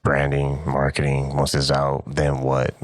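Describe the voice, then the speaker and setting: Very deep flat-voiced male interviewer. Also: a little slow, no echo, studio quality. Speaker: interviewer, show host. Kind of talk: interview questions.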